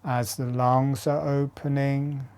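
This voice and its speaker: Man, guided breathing lesson, extremely slow pace, relaxed dark timbre, calm deliberate phrasing. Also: medium pitch, clear, resonant tone. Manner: calm, deliberate, short phrases with long pauses. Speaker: breathing and meditation instructor. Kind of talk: guided meditation instruction.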